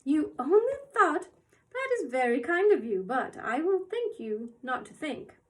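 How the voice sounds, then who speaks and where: A woman voicing a tiny, bossy old woman who runs a shop, with a shrill, squeaky voice that turns from scolding to sweetly coaxing. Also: very animated, a little slow.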